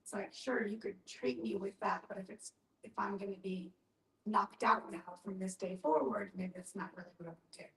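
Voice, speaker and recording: Woman talking in a group chat, explains stuff with example questions, roomy echo, medium pitch. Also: steady tone, brisk, fairly bright tone, far from the mic. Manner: explanatory, often voices example questions aloud. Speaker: panel speaker on end-of-life care. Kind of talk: conversational group discussion. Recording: echoey room.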